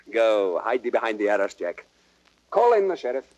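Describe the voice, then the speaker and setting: Lively, mocking young prince, swooping pitch. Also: male voice, unhurried, highs cut off. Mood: cool, composed. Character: jovial, mocking young prince, heir to the throne. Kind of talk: 1930s radio Shakespeare acting.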